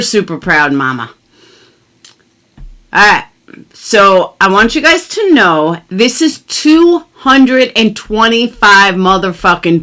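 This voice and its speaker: Lively, bright-voiced middle-aged woman vlogger. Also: on the low side. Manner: emphatic, blunt, swears freely. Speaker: commentary vlogger. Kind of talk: casual chat and mock-dramatic reading of legal documents.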